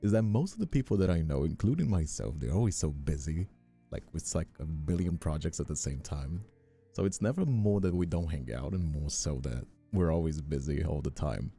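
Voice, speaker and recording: A man with an exceptionally deep, very mellow and dark voice hosts a relaxed stream chat. His delivery is conversational with animated pitch movement, over faint music. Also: a little fast, breathy edge. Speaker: host, streamer and voice actor. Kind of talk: casual live stream chat. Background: faint background music.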